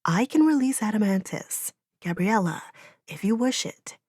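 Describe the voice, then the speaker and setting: A woman playing a serene, compassionate goddess-like woman in an audiobook, making solemn, measured pronouncements. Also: medium pitch, animated, a little mellow, slightly rough, no echo, clean studio sound. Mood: calm, solemn. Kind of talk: audiobook character dialogue.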